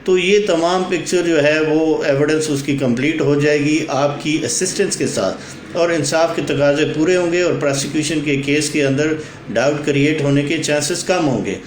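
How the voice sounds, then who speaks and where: A man's voice: a little high, normal speed. A man with a Pakistani accent giving a formal instructional legal explainer, mixing English legal terms into his speech. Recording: echoey room with faint background noise.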